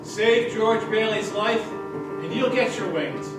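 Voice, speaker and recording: A man's voice: sits high, slow. A man playing a solemn senior angel in a live radio drama, formal and instructive. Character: solemn, measured senior angel giving orders. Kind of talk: live old-time radio drama acting. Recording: echoey distant stage, loud plucked-string music.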